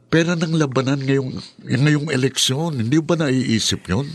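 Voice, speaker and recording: A man's voice: mid-range voice, a little slow, close to an everyday voice. A man acting in a radio drama as a worldly, pushy friend who cajoles and goads. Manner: cajoling, goading.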